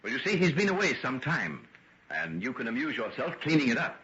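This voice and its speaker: An actor playing a genteel, courteous doctor with a soothing, guarded manner in a vintage radio drama. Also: male voice, medium pitch, big pitch swings. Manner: polite, measured, gently reassuring. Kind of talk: golden age radio drama acting. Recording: dull, noisy vintage radio recording.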